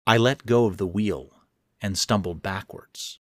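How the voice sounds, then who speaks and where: A man's voice: fairly low pitch, a little slow, dry recording, clean studio sound. A man reading his own first-person horror fiction as narrator, in a dramatic, suspenseful way. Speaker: author and narrator.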